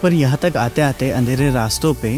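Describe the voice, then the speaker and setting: A man's voice: mid-range voice, brisk, music in the background. A man narrating a road-trip travel video as a voice-over, enthusiastic and mixing languages. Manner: enthusiastic, mixing Hindi and English.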